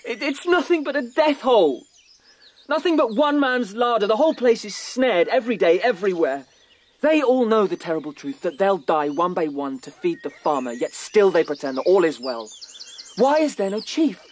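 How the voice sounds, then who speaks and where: A man's voice: sits high, sing-song, normal speed, slight background noise. A British man playing a nervous, fervent young rabbit in a radio drama, speaking with breathless intensity. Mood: bitter, fervent.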